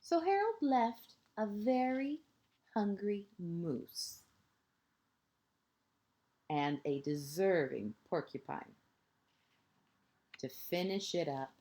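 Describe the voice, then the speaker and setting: A woman reading a children's picture book aloud like a kindergarten teacher, expressive and deliberate, with dramatic pauses and emphasis for young listeners. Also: medium pitch. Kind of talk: children's storybook read-aloud.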